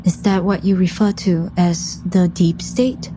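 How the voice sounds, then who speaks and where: An actress playing a composed, no-nonsense agent, narrating and speaking in a measured, matter-of-fact way. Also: female voice, on the low side, fairly even intonation, warm tone, soft background music, lightly acted, poor audio. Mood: firm. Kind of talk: first-person audio drama narration.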